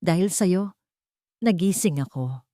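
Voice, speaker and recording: A woman's voice: on the low side, lively intonation, a little slow, warm tone, no echo, clean studio sound. A woman voicing a devoted, earnest father in an audiobook love story. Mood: grateful. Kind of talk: audiobook character dialogue.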